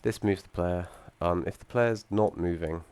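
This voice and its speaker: A young man with a croaky voice from feeling unwell, explaining his game project and code with frequent ums and restarts and the odd dry aside. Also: low voice, normal speed, a little mellow. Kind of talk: screen-recorded code walkthrough.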